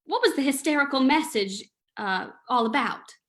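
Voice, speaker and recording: A woman playing a sleuth's brisk, wry and inquisitive lady companion in an old-time radio drama. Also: high voice, animated, fairly bright tone. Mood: wry, exasperated.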